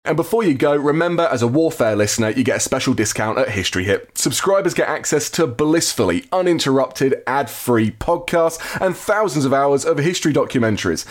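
A man hosting a history podcast, reading an upbeat closing promotion briskly. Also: medium pitch, animated. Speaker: podcast host. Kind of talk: read promotional outro.

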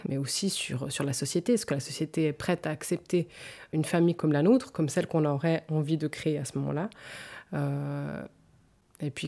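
A woman in her forties with a very low voice talks through her story in an interview. Her tone is warm and mellow, and she speaks thoughtfully and with some hesitation. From canton of Vaud, French-speaking Switzerland. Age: 40s. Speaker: interview guest. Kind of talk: personal testimony in an interview.

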